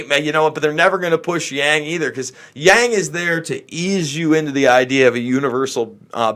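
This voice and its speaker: A man's voice: fairly high pitch, fairly bright tone. An American man doing off-the-cuff livestream political commentary, talking to viewers in an opinionated, exasperated, emphatic manner.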